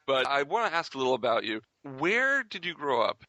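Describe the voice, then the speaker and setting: A man's voice: mid-range voice, sing-song, a little fast, sharp tone, dry recording, poor audio. A man casually chatting as he interviews a guest on a podcast.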